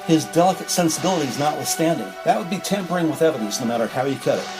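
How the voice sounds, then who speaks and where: A man's voice: a little high, expressive, quick pace. An American man reading scripted crime-drama narration. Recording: music behind the voice.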